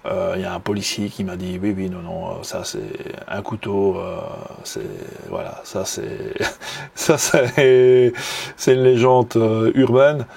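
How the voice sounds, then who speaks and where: A Belgian man with a Belgian French accent, talking casually and enthusiastically in a video review. His voice is low and gravelly with a husky edge. He hesitates often, filling pauses and restarting his sentences. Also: darkish tone. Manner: hesitant, many fillers and restarts; enthusiastic, admiring. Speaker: knife hobbyist vlogger.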